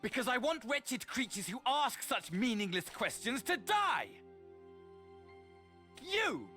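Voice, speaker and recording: A man's voice: very high-pitched, big pitch swings, takes it slowly, pushed and bright, full character voice. An actor playing a gleefully nihilistic villain mastermind in a video game, mocking and grandiose like a mad showman. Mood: manic rage. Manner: grandiose showman, swinging from mocking courtesy to mania. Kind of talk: theatrical video game voice acting. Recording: game audio with music behind.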